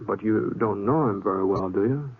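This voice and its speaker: An actor playing a gentle, bashful American cowboy in an old radio western, husky, with a halting, stammering drawl. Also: male voice, fairly low pitch. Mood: reluctant, concerned. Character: gentle, bashful drifting cowboy, plain-spoken. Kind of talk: 1950s radio western acting, folksy narration and dialogue. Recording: tinny old-time radio recording.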